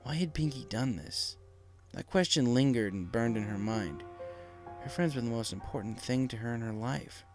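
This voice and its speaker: A man's voice: mid-range voice, moderate pace. A man narrating a dramatic story from a script as an audiobook reader. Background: faint music.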